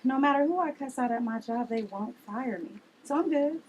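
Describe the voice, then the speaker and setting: A woman reading the part of a brash, sharp-tongued aunt who likes a drink, in a family drama table read. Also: high-pitched, expressive, normal speed, highs cut off, a little hiss or hum. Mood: brash, nosy.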